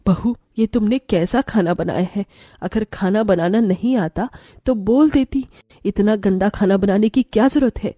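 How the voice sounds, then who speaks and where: A woman acting a bossy, haughty rich mother-in-law in a cartoon family story. Her voice is dark and mellow at a middling pitch, recorded very dry. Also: normal speed, no echo, natural voice. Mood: scornful, annoyed. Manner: bossy, scornful.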